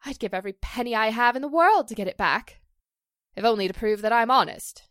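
A woman playing a distressed, pleading stage actress in a 1940s-style radio drama, halting and emotional. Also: fairly high pitch, expressive, fairly bright tone, no room sound, studio quality. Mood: indignant, pleading. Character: distressed stage actress accused of a publicity stunt, pleading, wounded.

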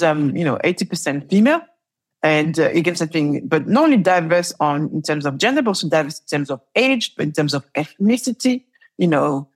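Husky-voiced woman telling lively stories. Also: lowish voice. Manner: animated storytelling, laughs often, repeats words. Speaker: entrepreneur guest. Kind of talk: conversational interview.

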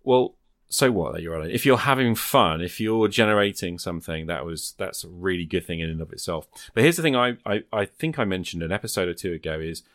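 A man's voice: deep voice, animated, brisk, a bit bright, dry recording, studio quality. A man hosting a podcast in casual chat, rambling encouragingly as he thinks aloud. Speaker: podcast host.

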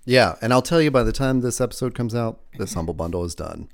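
A man's voice: fairly low pitch, animated, quick pace, creaky. A man co-hosting a casual podcast, chatty and teasing, with many fillers. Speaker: podcast co-host.

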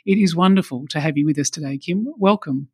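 A woman's voice: low-pitched, quick pace, a little mellow, slightly rough, no room sound, clean studio sound. A woman hosting a panel discussion in a formal moderating style. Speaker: panel host.

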